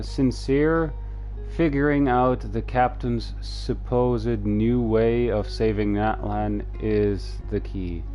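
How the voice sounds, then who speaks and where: A man streaming a game, reading dialogue aloud in a halting, low-key way. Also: a little mellow. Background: game music.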